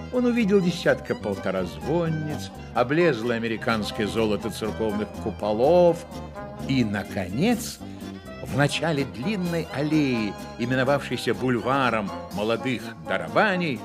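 A man narrating a literary radio play, measured and ironically solemn. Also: mid-range voice, close to an everyday voice. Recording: loud music under the voice.

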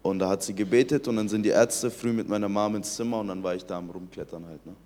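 A man's voice: fairly low pitch, steady tone, fast, warm tone, in a large hall. A young man from Iran in his late teens, telling his personal faith testimony earnestly, often restarting his sentences. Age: young adult. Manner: earnest storytelling with many restarts.

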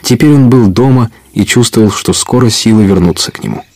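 A man's voice: fairly low pitch, fairly quick, a little mellow, dry recording. A man narrating an adventure audio play in a scripted storytelling style.

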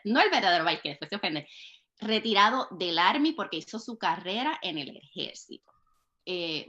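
A woman's voice: fairly low pitch, fairly bright tone, muffled recording. A Puerto Rican woman in her thirties, a podcast guest who talks in a friendly, talkative way. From Puerto Rico.

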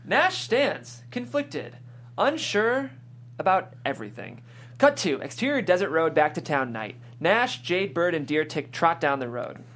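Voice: mid-range voice, big pitch swings, a little slow, a bit bright, slight background noise. A person reading screenplay stage directions aloud as scripted narration at a table read.

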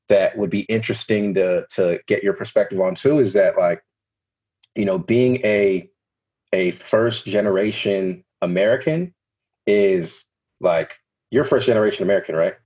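A man's voice: fairly low pitch, moderate pace, slightly rough. A man hosting a podcast who asks long, rambling questions full of fillers and restarts. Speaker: podcast host. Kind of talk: casual interview questions. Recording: dull lo-fi podcast audio.

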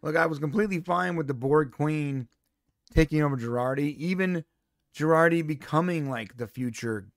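A man co-hosting a podcast, giving an opinionated, animated review in casual chat. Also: fairly high pitch, normal speed, no echo, clean studio sound. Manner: animated, opinionated, exasperated asides. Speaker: podcast co-host.

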